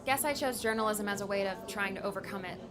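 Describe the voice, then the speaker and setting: A woman's voice: mid-range voice, fast, natural voice. Woman as a candid young woman amid crowd murmur. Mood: wistful, rueful. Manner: polite, candid, self-deprecating. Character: polite, self-effacing young woman, debt-burdened would-be travel journalist. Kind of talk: audiobook drama acting.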